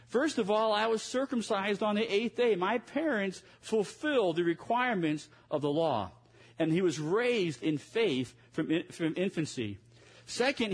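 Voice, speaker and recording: Husky-voiced male preacher, high pitch. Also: low-quality recording. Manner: conversational preaching with self-mocking asides. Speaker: pastor. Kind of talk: sermon.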